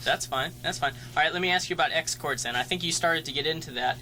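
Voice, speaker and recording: A man with a very bright, sharp-edged voice, hosting a campus radio interview. He is easygoing and agreeable, with a faint hum underneath. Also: on the high side, fast. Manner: easygoing, laughs along, agreeable. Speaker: radio DJ host.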